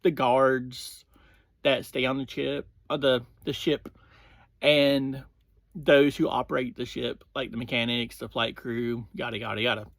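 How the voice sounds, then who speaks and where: A man hosting a review series, giving a casual, informal recap in halting phrases that often open with "well". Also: medium pitch, little pitch movement, creaky, a bit bright, no echo.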